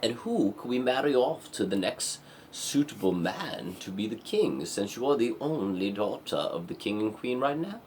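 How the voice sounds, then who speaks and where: A man's voice: fairly low pitch, very animated, normal speed, a little hiss or hum. A man voicing an insistent palace gate guard who pleads in a pompous, formal way. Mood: fussy pleading.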